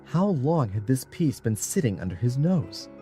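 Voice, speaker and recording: A man's voice: mid-range voice, sing-song, normal speed, warm tone, faint music behind. A man narrating a dramatic audiobook from a script as a storyteller.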